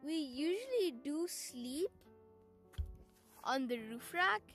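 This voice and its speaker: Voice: sits high, sing-song, slow pace, a bit bright, soft background music. A young-sounding female vlog host, explaining and instructing in a casual travel-vlog style.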